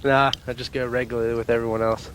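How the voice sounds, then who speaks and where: A man's voice: mid-range voice, level tone, fast talker, a bit bright. Regular guy from the us answering street questions, chill, a little noise behind him. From United States. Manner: easygoing, chuckling. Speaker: passerby being interviewed. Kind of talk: casual street interview answers. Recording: faint street noise, outdoor field microphone.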